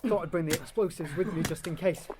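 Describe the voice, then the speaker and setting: An actor playing a naive, worried underage soldier in a First World War audio drama. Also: male voice, on the high side, fast talker, close to an everyday voice. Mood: uneasy. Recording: very noisy, clicking, off-mic.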